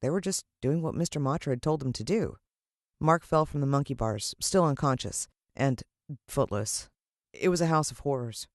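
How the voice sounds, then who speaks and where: A woman's voice: very deep voice, moderate pace, dry recording, studio quality. A woman with an American accent reading a children's story expressively as an audiobook narrator.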